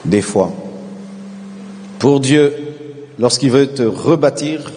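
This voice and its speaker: A male pastor preaching a sermon, calling on the congregation to repeat after him and swelling into shouted refrains. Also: mid-range voice, slow, in a large hall. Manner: preaching with call-and-response, rising to shouted repetitions.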